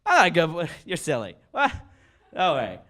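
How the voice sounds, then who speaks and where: A man's voice: on the high side, huge pitch swings, normal speed, pushed and bright, voice pushed up, heavily acted. A man voicing a silly, bashful talking dog in a comedy bit, flustered and apologetic as he protests over and over. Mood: excited, bashful.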